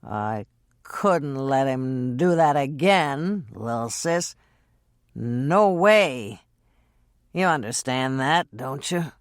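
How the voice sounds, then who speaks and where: A woman reading an audiobook and voicing a drunk, brooding man who slurs his words slowly. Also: on the low side, big pitch swings, fairly bright tone, no room sound, studio quality, exaggerated voice. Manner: slow, slurred drunken drawl. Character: drunk, brooding adult man, an actor in a scene.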